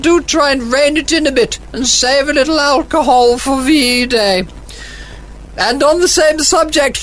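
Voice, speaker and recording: Woman playing a haughty high-pitched British agony aunt. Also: low-quality recording. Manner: pompous, withering, formal. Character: haughty, sardonic, gin-loving 1940s village agony aunt. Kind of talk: 1940s radio comedy acting.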